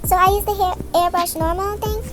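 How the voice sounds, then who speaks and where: Casting: female tutorial creator, very high girlish voice, informal step-by-step explaining, music underneath. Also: fairly even intonation, a little fast. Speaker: video creator giving a tutorial. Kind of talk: casual step-by-step tutorial voice-over.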